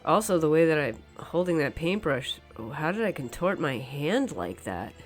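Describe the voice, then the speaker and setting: A woman's voice: low-pitched, moderate pace, faint music behind. A woman with a head cold, sounding stuffy and nasal, chatting casually through a painting tutorial voice-over and hedging with "kind of" and "um". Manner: relaxed and chatty, hedges with frequent "kind of" and "um". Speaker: painter demonstrating her work. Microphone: voice-over recorded separately from the footage.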